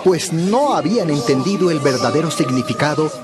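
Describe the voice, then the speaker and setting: A man narrating a dramatized Bible story in a measured, expressive storytelling style. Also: fairly high pitch, over loud music.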